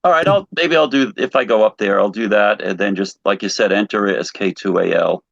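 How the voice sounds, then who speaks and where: A man with a gravelly, creaky voice speaks as a participant in a casual online discussion. He is hesitant and halting, restarting his words. The audio is dull and lo-fi, with no room echo. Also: lowish voice. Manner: hesitant, halting, repeats word starts. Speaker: participant asking questions. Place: online video meeting. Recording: dull lo-fi video call audio.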